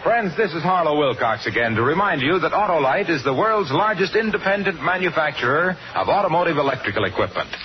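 A man's voice: fairly high pitch, expressive, quick pace, few pauses, a little hiss or hum. An American man reading scripted copy as a commercial radio announcer, with a polished, emphatic 1950s sales delivery. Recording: muffled old radio broadcast, music behind.

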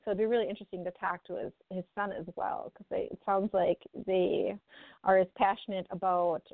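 A woman's voice: mid-range voice, little pitch movement, brisk, gravelly, no echo. A woman co-hosting a radio talk show, enthusiastic and agreeable in casual chat. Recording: tinny phone-line sound.

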